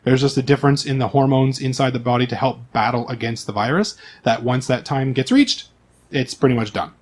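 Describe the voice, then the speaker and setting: A man's voice: medium pitch, a little fast, a little mellow. A man speaking as host and game master, explaining things to players and listeners in a chatty, casual way.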